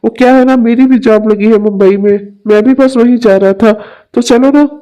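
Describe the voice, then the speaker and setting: A man's voice: very high-pitched, fairly even intonation, moderate pace, dark tone, smooth, low-quality recording. A man voicing a caring young male friend who talks in a concerned, coaxing and chatty way in an amateur audio drama.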